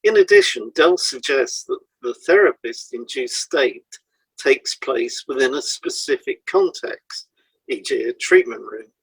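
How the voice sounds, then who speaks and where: A man presenting a scripted educational podcast in a measured, explanatory way, setting out items one by one. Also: medium pitch, creaky, breathy edge, no echo, low-quality recording.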